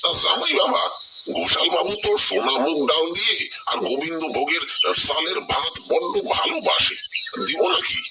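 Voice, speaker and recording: A man's voice: a little high, sing-song, normal speed. Man voicing a folksy shopkeeper, wildly lilting. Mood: jovial. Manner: chatty, deferential, rambling. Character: talkative, folksy older male village shopkeeper. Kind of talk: dramatised Bengali horror cartoon voice acting. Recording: distant voice, loud outdoor ambience noise.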